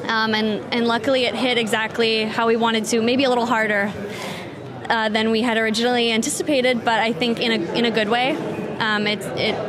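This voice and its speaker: A young woman with an American accent speaks eagerly and laughs easily, her voice sitting a little high. Crowd chatter fills the space around her handheld mic. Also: little pitch movement, brisk. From England. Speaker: film director, guest. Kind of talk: casual interview. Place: festival media wall, indoors, busy.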